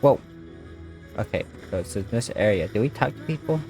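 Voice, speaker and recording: A woman's voice: a bass voice, fairly quick. A woman giving casual, exclamatory reaction commentary while playing a video game. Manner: expressive, exclamatory. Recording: game music behind the voice.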